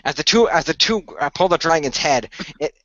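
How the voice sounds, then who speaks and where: Bright-voiced man narrating action, halting. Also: fairly high pitch, expressive, dry recording, lo-fi sound. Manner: halting, repeats phrases. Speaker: game-style narrator and player of a radio play.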